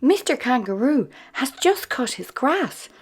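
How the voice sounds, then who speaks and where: Woman putting on a high perky little girl, bossy, breathy storybook voice. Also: big pitch swings, a little slow, soft background music, heavily acted. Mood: bright.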